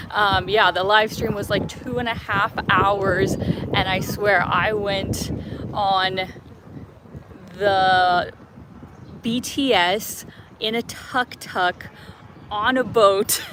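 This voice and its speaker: A woman's voice: medium pitch, a bit bright, slight background noise. A young woman hosting a travel live stream outdoors in a city park, chatty and laughing often as she greets viewers by name.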